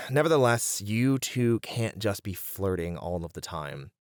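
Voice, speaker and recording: A man's voice: lowish voice, animated, unhurried, dry recording, clean studio sound. A man acting an uptight, caring band manager, earnest and mildly exasperated, in an ASMR roleplay. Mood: exasperated, stern.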